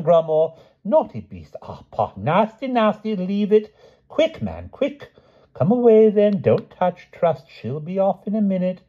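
A man playing a dithering, high-voiced old knight-king. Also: slightly rough, lo-fi sound. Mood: flustered. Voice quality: dithering, upper-class, tacks on "what?". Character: bumbling, fussy elderly knight-king, kindly and flustered.

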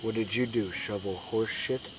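A man's voice: lowish voice, fairly even intonation, moderate pace, natural voice. A man acting a taunting Confederate veteran in a western film, recorded outdoors. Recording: distant, noisy outdoor night sound.

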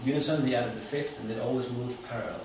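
A man giving an informal, halting lecture to an audience as a musician. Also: medium pitch, steady tone, over music. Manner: halting, explanatory. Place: club room with seated audience, indoors. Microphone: stage mic through speakers, recorded from afar. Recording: echoey, noisy hall recording from far off.

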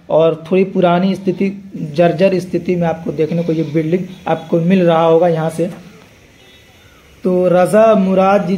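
A man's voice: fairly high pitch, warm tone. A man narrating a building tour for his viewers in a rambling way, repeating filler phrases and correcting himself. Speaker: vlogger. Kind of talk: tour-guide narration over footage.

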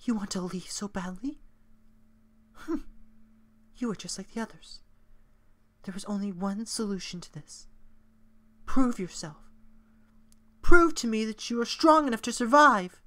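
Motherly monster caretaker for a game: gentle but solemn, formal, high female voice woman acting. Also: measured pace, pauses often. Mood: grave, stern. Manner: formal, careful, maternal diction. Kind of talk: video game character impression.

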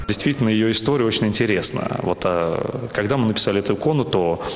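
A man's voice: fairly low pitch, lively intonation, fast, a bit bright, soft background music. A middle-aged man, a parish priest, telling stories in an on-camera interview. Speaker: parish priest, church rector. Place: inside a small church. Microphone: handheld interview microphone. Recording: echoey, reverberant church interior.

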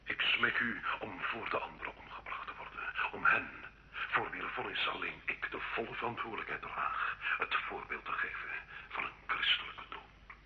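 A man's voice: lowish voice, moderate pace, natural voice. An actor playing a tormented, murderous French nobleman confessing in an old radio drama, theatrical and impassioned. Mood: humble, resigned. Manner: impassioned, anguished, dramatic swings from whisper to cry. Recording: muffled old radio recording, faint noise.

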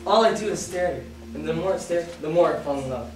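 Young guy acting earnest lovesick slave on stage, highish voice, far off mic, music behind. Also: quick pace, close to an everyday voice. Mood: smitten. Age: young adult. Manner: ardent, earnest. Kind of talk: amateur romantic stage acting. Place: small theatre stage. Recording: very echoey theatre, distant, music behind.

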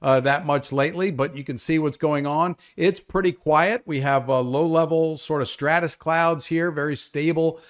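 Older male, fairly high voice, live weather commentary, unscripted, explanatory, hesitant pauses. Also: fairly even intonation. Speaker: weather update presenter.

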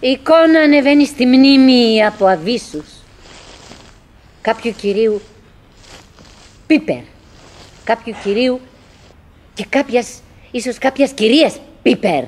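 Actress playing high-voiced wistful, chattering middle-aged woman. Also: animated, darkish tone, natural voice. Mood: wistful musing. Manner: theatrical, halting, musing with repetitions. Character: chattering, wistful middle-aged woman, doggedly cheerful, pleading with her husband. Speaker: stage and radio actress. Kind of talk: radio theatre monologue.

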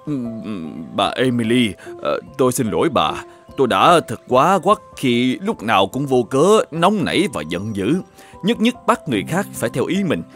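A grumpy older shoemaker from an animated folk tale. He nags and exclaims in a lively, wide-ranging voice at a middling male pitch. Faint music plays behind him. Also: moderate pace. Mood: apologetic. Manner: exclaiming, muttering to himself, scolding. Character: grumpy, nagging older shoemaker husband, scornful and quick to scold.

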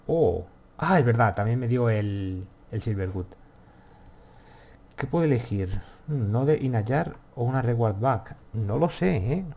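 A man's voice: mid-range voice, animated, a little slow, vocal fry, mellow. A man with a Castilian accent from Spain giving casual gameplay commentary, rambling to himself and swearing freely. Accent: Castilian Spanish from Spain. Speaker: gaming video host.

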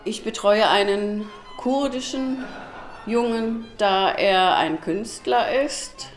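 Unhurried woman in her sixties. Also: medium pitch. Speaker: volunteer mentor. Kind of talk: interview. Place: room with dark red wall, indoors. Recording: echoey room, faint music.

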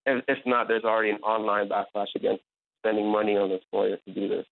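Journalist guy on a radio panel, lowish voice, earnest and fluent, tinny phone sound. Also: level tone, fast talker, gravelly. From Iran, raised in America. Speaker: journalist and blogger, panel guest.

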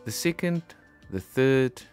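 A middle-aged man presenting a shooting vlog in a casual, expressive way, talking straight to his viewers. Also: mid-range voice, slow pace, faint music behind. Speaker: host of an airgun shooting channel.

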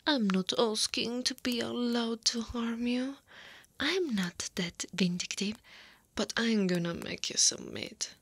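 Woman voicing a slow, breathy, flirty slime monster woman. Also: on the high side, a bit bright, no room sound, full character voice. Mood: seductive, possessive. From Italy. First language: Italian. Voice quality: breathy, often half-whispered. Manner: slow, playful, teasing. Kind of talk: intimate ASMR roleplay. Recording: clear background ambience noise.

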